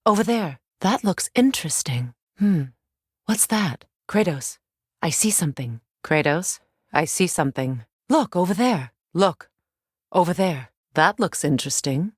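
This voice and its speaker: A composed Norse warrior goddess as a video game companion. Her voice sits a little low for a woman and moves expressively up and down. She speaks slowly and deliberately, with an air of command. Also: animated, breathy edge, no room sound, studio quality, full character voice. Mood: alert, curious.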